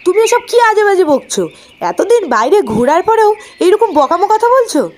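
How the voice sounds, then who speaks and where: A woman's voice: extremely high pitch, big pitch swings, moderate pace, a little hiss or hum. A woman voicing a suspicious, fretful young village wife in a cartoon story. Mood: annoyed. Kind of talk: cartoon voice acting.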